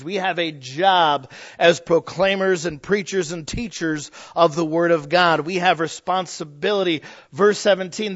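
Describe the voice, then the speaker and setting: A man with a fairly high voice delivers a homespun sermon, swinging between punchy emphasis and quiet asides. The recording is somewhat muffled and lo-fi. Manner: folksy, humorous, with emphatic rises and drops. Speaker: preacher. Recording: slightly dull lo-fi sound.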